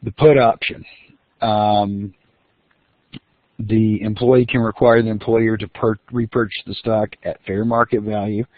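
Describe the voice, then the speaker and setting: A man's voice: on the low side, level tone, unhurried, no echo. A man giving a recorded lecture as the instructor, explaining step by step with fillers and restarts. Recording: dry, phone-like lo-fi audio.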